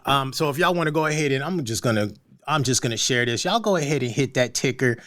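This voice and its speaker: A man hosts a relaxed talk show. He jokes in loose slang and often restarts his sentences, and his voice sits at a middle pitch. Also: quick pace. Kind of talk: casual conversation.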